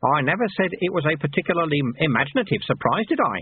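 A man's voice: on the high side, sing-song, quick pace, husky, dry recording, phone-quality sound, voice pushed up, heavily acted. A man voicing a sly, cheerful old monk and martial-arts master who talks in a cheeky, colloquial, teasing way, in an audiobook. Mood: gleefully teasing.

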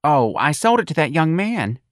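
A man's voice: a little high, very animated, normal speed, no room sound, clean studio sound, voice pushed up. A man voicing a plain-spoken older working-class mother in a colloquial, plaintive manner for an audiobook. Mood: plaintive, fussing.

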